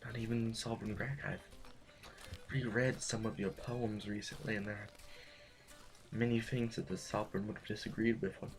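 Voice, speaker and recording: A man portrays a weary court intellectual in an audio drama. His lowish voice is subdued and reflective, halting over formal phrases as if doubting himself. Music sits softly behind the dialogue. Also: little pitch movement, close to an everyday voice. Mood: uneasy, subdued.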